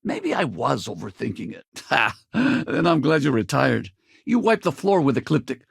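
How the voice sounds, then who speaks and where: A man playing an easygoing, loyal space pilot companion in a video game, husky and casual, with a wry, battle-ready streak. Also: mid-range voice, wildly swooping pitch, moderate pace, dry recording, studio quality, full character voice. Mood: friendly, wry. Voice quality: gravelly, husky. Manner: casual, colloquial, conversational.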